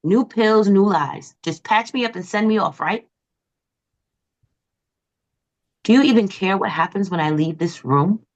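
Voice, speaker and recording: A woman's voice: mid-range voice, normal speed, natural voice. A woman playing a manic, sleepless and bitter psychiatric patient in a dramatic, confrontational way at a script table read. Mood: bitter, accusing. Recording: slightly dull, lo-fi audio.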